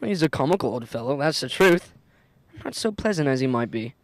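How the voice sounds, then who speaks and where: A man's voice: medium pitch, big pitch swings, brisk, no echo. A man acting a good-natured, cheerful nephew in a radio play, genial and forgiving.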